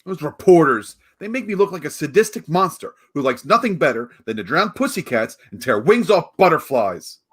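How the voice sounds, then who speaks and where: An actor playing a combative, exasperated prosecutor in a 1940s-style radio play, indignant and emphatic. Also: male voice, a little high, very animated, moderate pace, hardly any pauses, husky. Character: exasperated, combative male prosecutor, family man.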